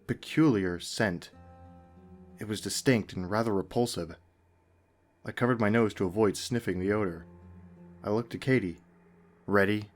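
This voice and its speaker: A man narrates a scary first-person story in a fairly low voice. His delivery is measured and scripted, with dramatic pauses. Faint music plays behind him.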